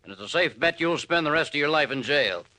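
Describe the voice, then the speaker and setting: Stern, forceful police major. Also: male voice, on the high side, lively intonation, quick pace, poor audio. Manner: firm, authoritative. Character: stern, level-headed male state police major. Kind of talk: 1940s radio serial acting.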